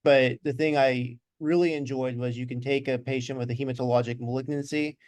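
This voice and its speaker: A man in his thirties, a physician guest on a video call, explaining in a measured way with some fillers. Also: mid-range voice, fairly even intonation, darkish tone, no room sound. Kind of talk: interview.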